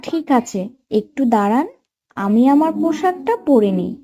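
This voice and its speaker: A woman's voice: a little high, big pitch swings, moderate pace, warm tone, lo-fi sound. A woman voicing a meek, mistreated young stepdaughter in a cartoon story, hesitant and pleading.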